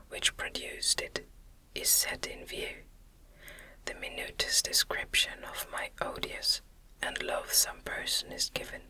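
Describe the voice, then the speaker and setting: A woman reading a gothic novel as an ASMR narrator in a gentle, breathy, unvoiced whisper, close to the microphone. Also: low-quality recording. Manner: gentle, deliberate literary reading. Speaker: audiobook narrator.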